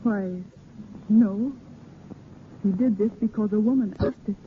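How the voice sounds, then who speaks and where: A woman's voice: mid-range voice, measured pace, close to an everyday voice. An actress playing a proud, earnest young French noblewoman with a formal, haughty manner in a 1930s radio drama. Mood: earnest pleading. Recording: tinny 1930s radio recording, faint noise.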